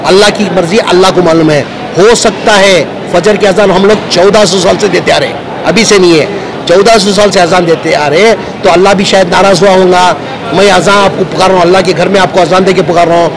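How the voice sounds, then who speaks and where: A man's voice: high-pitched, fairly even intonation, brisk, crowd noise. A man with a Bangalore Dakhni accent speaking informally to the press, explaining in colloquial, repetitive phrases. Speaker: politician. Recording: noisy outdoor urban surroundings.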